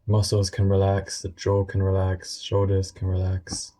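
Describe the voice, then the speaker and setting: A man guiding a meditation in a gentle, unscripted way, speaking informally and soothingly in short phrases with pauses. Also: sits low, monotone. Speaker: meditation guide.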